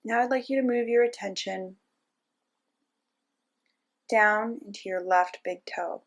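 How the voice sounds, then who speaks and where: A woman narrating a guided meditation as a teacher, giving gentle step-by-step instructions with frequent pauses. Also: medium pitch.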